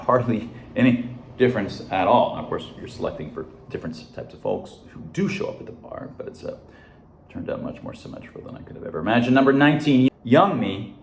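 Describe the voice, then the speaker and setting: A man in his fifties hosting a science show, talking casually alone, rambling with many fillers and restarts. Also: medium pitch. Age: 50s. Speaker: science podcast host. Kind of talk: casual solo monologue. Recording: echoey room with faint noise.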